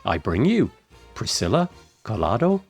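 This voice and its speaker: A man's voice: lowish voice, normal speed, vocal fry, loud music behind the voice. A man hosting a podcast, reading a scripted introduction and then interviewing his guest. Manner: lively, rising and falling delivery. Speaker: podcast host.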